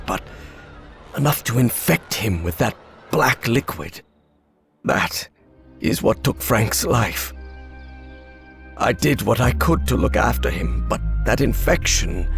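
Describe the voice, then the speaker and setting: An actor playing a guilt-ridden older professor in a video game, speaking in a halting, grave, confessional way. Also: male voice, fairly low pitch, vocal fry, a bit bright, heavily acted. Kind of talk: dramatic video game acting.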